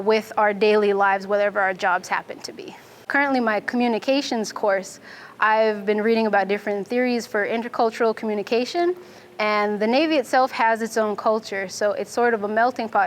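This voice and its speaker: A young adult woman from Fiji, a Navy instructor, answering interview questions in a clear, methodical way. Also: fairly high pitch, slight background noise. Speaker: Navy nuclear instructor and student.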